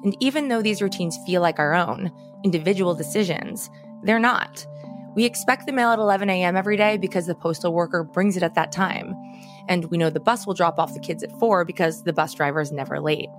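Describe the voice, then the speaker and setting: A hoarse woman with a low voice co-hosts a true crime podcast and reads scripted passages in a measured, dramatic way. Faint music runs underneath her. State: hoarse from karaoke. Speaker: podcast co-host. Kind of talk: podcast chat and scripted narration.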